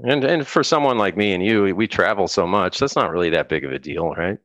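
A man's voice: low-pitched, a little fast, a bit bright, no room sound. An American man, middle-aged, chatting casually as an interview guest, rambling with frequent restarts and laughs. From United States. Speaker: guest musician.

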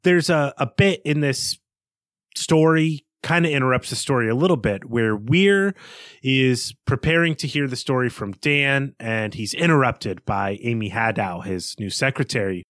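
A man co-hosting a book podcast, talking through a chapter in a relaxed, chatty way with many fillers. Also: mid-range voice, normal speed, no echo, clean studio sound. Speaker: podcast co-host. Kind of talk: casual book discussion.

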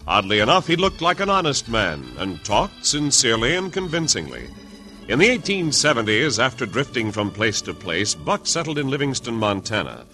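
A man's voice: fairly low pitch, pushed and bright. A man narrating a vintage radio drama in dramatic, scripted announcer style, with organ music behind him.

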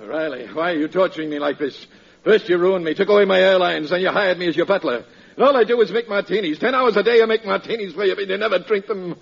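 Raspy, high, tormented radio butler. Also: male voice, quick pace, heavily acted. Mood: tormented, hysterical. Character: tormented butler, a ruined man cracking into hysteria. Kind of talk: 1940s radio comedy acting. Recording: dull vintage radio recording.

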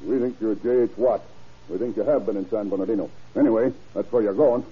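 A hard-boiled, sardonic detective. He talks fast in a lowish husky voice, on a dull vintage radio recording. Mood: tough, sardonic. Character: tough, sardonic male police detective. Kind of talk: old-time radio crime drama acting.

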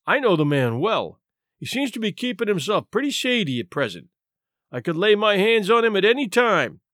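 Storybook role: meek-looking, sly down-and-out man, done by a male reader, quiet, dryly ironic, unhurried, with lilting high voice. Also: very animated, no echo, studio quality. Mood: easy, sly. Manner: quiet, sighing, unhurried, wry formal wording. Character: shrunken shabby male vagrant, quiet, dry, sly companion.

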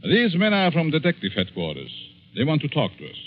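An actor with a Hungarian accent playing a scheming psychology professor feigning innocence, in deliberate, theatrical 1940s radio style. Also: male voice, fairly high pitch, huge pitch swings, slight background noise. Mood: polite, wary. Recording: muffled, narrow-band 1940s radio recording.

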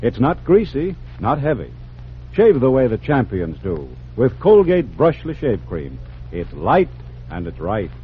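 A man's voice: medium pitch, wildly swooping pitch, measured pace. An American man announcing a 1940s radio commercial in punchy, emphatic sales phrases. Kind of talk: 1940s radio advertisement read. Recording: thin, phone-like, noisy vintage radio recording.

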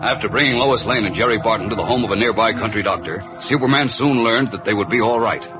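A man's voice: on the low side, quick pace. An American man narrating a 1940s radio serial in a dramatic, suspenseful, declamatory style. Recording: muffled old radio broadcast recording. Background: loud music.

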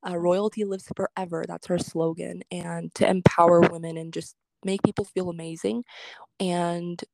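A chatty woman answering interview questions about her music, loose and rambling, full of fillers and restarts. Her voice sits at an ordinary pitch. The recording is dry, with no room sound. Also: flat delivery, moderate pace, vocal fry, darkish tone, dry recording. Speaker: guest singer and social media creator.

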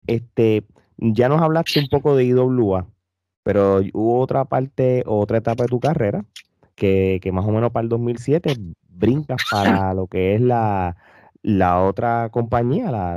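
A man, a podcast co-host who asks relaxed questions and backs the talk with short replies. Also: mid-range voice, a little slow, dry recording. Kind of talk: casual interview. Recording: dull, dry lo-fi mic.

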